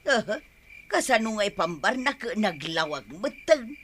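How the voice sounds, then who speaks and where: A woman's voice: medium pitch, big pitch swings, moderate pace, fairly bright tone, slight background noise. A woman playing a suspicious, scolding wife with an Ilocano accent in a theatrical radio comedy drama. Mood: suspicious, fretting. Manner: theatrical, interrogating, exclaiming. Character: nagging wife, suspicious, quick to scold and wail. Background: music.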